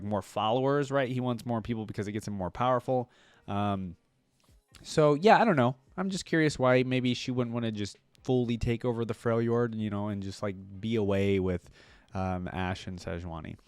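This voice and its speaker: A man's voice: mid-range voice, clear, resonant tone, no room sound. A man hosting reaction videos, chatting casually to viewers in a rambling, musing, enthusiastic fan style. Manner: rambling, musing, often hedging with "like" and "you know".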